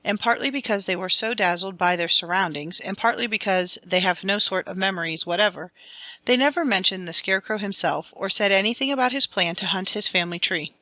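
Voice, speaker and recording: A woman's voice: mid-range voice, a little fast, pushed and bright, no room sound. A woman reading a children's fantasy storybook aloud as scripted, dramatic audiobook narration. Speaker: audiobook reader.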